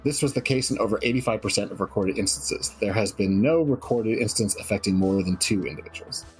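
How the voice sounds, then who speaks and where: A man's voice: on the low side, fairly quick, husky, faint music behind, poor audio. A man reading a clinical document aloud and chatting casually as a guest writer on a stream.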